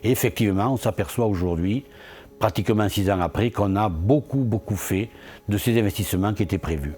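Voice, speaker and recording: A man's voice: on the low side, fairly quick, a little mellow. A man speaking earnestly as an elected local official in a promotional interview, posing questions and answering them himself. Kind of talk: promotional interview testimony about local achievements.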